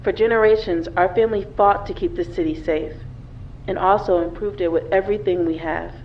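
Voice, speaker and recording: A woman's voice: fairly low pitch, steady tone, a little fast, slight background noise, lightly acted. Woman acting earnest mother, dull lo-fi sound. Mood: earnest, proud. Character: earnest dead mother in a recorded video message, thirty-five. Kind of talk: audio drama acting. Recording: dull, lo-fi recording.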